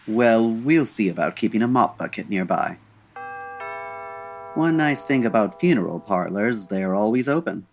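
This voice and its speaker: An actor playing a suave, unflappable gentleman detective in an old-time radio parody, speaking in a measured, formal, dryly amused way. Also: medium pitch, expressive, faint music behind, lightly acted.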